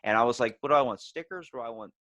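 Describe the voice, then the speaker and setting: A man's voice: mid-range voice, very fast, a bit bright. A man hosting a podcast in casual, profane conversation, rambling along and quoting himself as he tells stories. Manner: rambling, quotes himself in anecdotes. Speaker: podcast host.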